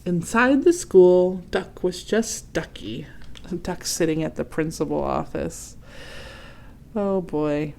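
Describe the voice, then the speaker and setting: A woman's voice: fairly low pitch, creaky, a little hiss or hum. Woman reading a children's storybook aloud. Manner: expressive, pauses to ask and comment. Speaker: read-aloud host. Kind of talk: children's storybook read-aloud with questions to young listeners.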